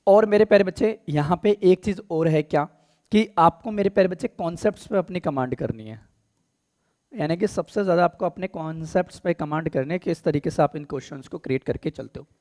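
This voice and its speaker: A hoarse man with an Indian accent teaching a live online class, speaking gently and slowly and clearing his throat often because of a sore throat. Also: a little high. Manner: affectionate, calls students "beta", clears throat often; deliberately soft, slow speech. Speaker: online exam-prep English teacher. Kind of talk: live online class, Hindi-English mix.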